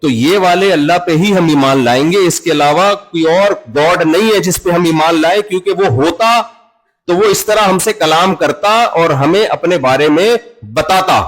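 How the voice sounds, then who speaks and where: A man's voice: a little high, moderate pace, low-quality recording. Conversational man preaching a sermon. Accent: Urdu-speaking Pakistani. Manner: conversational, acts out dialogues with everyday examples. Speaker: Islamic preacher.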